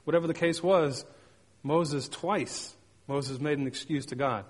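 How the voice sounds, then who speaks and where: A man's voice: a little high, measured pace. Man preaching in an echoing hall. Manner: storytelling with sound effects, emphatic repetition. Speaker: pastor. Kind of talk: sermon with personal stories.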